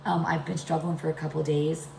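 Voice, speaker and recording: A middle-aged woman talks casually through a home recipe. Her voice is deep and congested from a chest cold, with a gravelly creak. The kitchen around her is echoey. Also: little pitch movement, a little fast, darkish tone. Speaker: home cooking video host. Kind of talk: casual cooking demonstration talk. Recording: echoey room with background noise.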